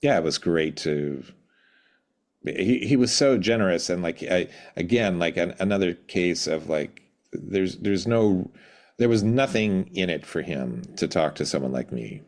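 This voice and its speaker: A middle-aged man reminiscing casually as an interview guest, reflective and halting, often breaking off mid-word. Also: sits low, animated. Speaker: podcast guest, musician.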